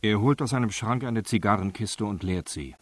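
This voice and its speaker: An actor narrating a radio crime drama in a sober, factual, detached documentary style. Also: male voice, fairly low pitch, moderate pace, a little hiss or hum, lightly acted.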